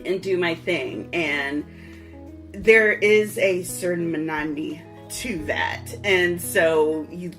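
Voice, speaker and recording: Low-voiced woman interviewing on a podcast. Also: slow pace, a bit bright, faint music behind. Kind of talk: conversational interview.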